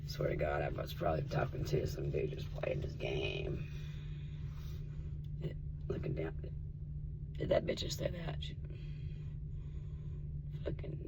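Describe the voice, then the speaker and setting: A man from Tennessee, his voice rough and in need of rest, talking casually to his viewers and often dropping to an earnest whisper. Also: a bass voice, huge pitch swings, unhurried, long pauses, low-quality recording. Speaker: vlogger. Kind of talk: casual spiritual vlog and storytime.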